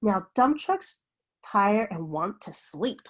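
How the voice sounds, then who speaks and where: A woman reading a children's picture book aloud like a teacher, with an expressive, sing-song bedtime delivery. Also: mid-range voice, slow.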